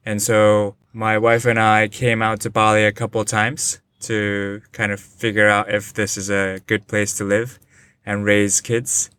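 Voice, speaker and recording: Even-toned man in his forties from Japan. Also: on the low side, a little slow, smooth. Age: 40s. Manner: thoughtful, many "uh" fillers. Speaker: guest. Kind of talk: casual interview conversation. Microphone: remote online call.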